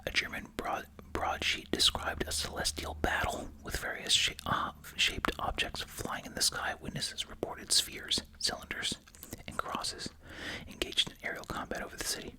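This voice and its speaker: An ASMR host speaking in a breathy, unvoiced whisper, reading aloud and musing casually. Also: normal speed, rough recording.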